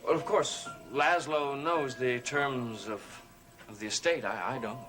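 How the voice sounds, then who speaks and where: An actor playing a scheming, evasive occultist relative in a gothic television soap, speaking in a measured, conspiratorial way. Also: male voice, mid-range voice, over music, close to an everyday voice, rough recording. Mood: sly, insinuating. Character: scheming, smooth male occultist relative after an inheritance.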